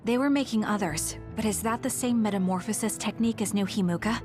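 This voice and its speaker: A composed young woman fighter from an anime game, voiced by an actress. She speaks with serious determination at a middling pitch over faint music. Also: fast, close to an everyday voice. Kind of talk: English game dub acting.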